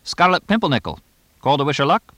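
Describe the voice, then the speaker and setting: Man voicing a brisk, high-pitched English horse trainer. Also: no room sound. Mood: brisk, blunt. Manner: clipped, chatty, deadpan. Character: fast-talking, drily blunt English horse trainer. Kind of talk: audiobook character dialogue.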